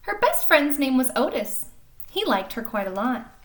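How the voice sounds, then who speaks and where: A woman reading a picture book aloud to young children in an animated way, pausing to explain and ask questions like a teacher. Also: high-pitched. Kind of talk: expressive storybook read-aloud with chatty explanations to children.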